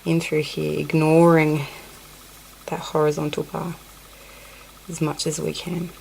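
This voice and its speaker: A woman's voice: low voice, level tone, unhurried, vocal fry, darkish tone, a little hiss or hum, poor audio. A woman with a cold, teaching a crochet technique over close-up shots of her hands, counting stitches under her breath and apologizing in asides. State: sniffly with a cold. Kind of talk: hands-on craft tutorial.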